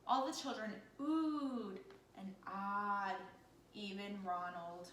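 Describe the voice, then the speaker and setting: Echoey room, woman, teacher reading aloud, bright forceful tone, expressive, encouraging, children's story. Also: mid-range voice, slow. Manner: expressive, encouraging, often asking questions. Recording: echoey room with faint noise.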